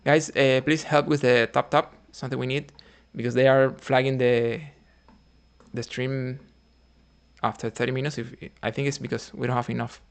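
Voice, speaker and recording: A man from Cuba, streaming his chess games and quietly thinking his moves out loud. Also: mid-range voice, level tone, normal speed. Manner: thinking aloud, muttering moves quietly, hesitant.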